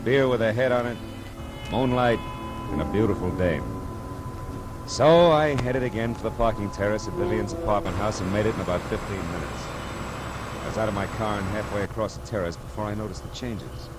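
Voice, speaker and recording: A man's voice: fairly low pitch, a little fast, lightly acted, poor audio. A man with an American accent playing a wisecracking, hard-boiled private detective in a 1950s radio drama, tough, clipped and sardonic. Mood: brisk narration. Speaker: lead actor and narrator. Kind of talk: 1950 radio detective acting. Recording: old radio broadcast with loud music behind.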